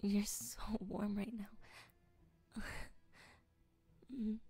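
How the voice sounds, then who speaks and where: A young woman voicing a timid, easily scared girl in an audio roleplay, speaking softly and hesitantly, stammering and often whispering. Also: on the high side, slow pace, soft background music. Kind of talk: audio roleplay addressed to the listener.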